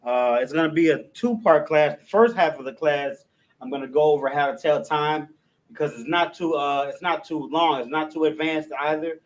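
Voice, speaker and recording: A man teaching a language class, speaking with frequent restarts and fillers, and reading out an alphabet letter by letter. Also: a little high. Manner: halting, many restarts and fillers. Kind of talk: classroom lesson, reciting alphabet.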